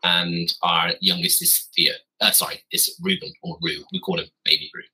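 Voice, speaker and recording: Guy, 30s, podcast guest on a call from his garden office; husky, forceful tone, meandering and self-correcting. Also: deep voice, normal speed, low-quality recording. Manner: rambling, self-correcting, wry. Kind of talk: casual storytelling.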